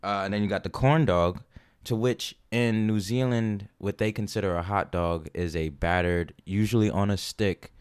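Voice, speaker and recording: Deep-voiced American man, smooth and halting. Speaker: podcast co-host. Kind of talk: casual comedic chat, reading out information.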